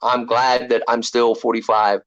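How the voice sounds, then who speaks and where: A man's voice: medium pitch, flat delivery, lo-fi sound. Rambling man in his forties. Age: 40s. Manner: rambling, halting restarts, laid-back. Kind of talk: casual chat.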